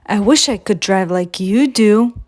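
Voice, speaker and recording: A woman's voice: medium pitch, a little slow, darkish tone, close to an everyday voice. Woman acting small young storybook sleigh, eager, childlike, polite, wide-eyed. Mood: eager, admiring.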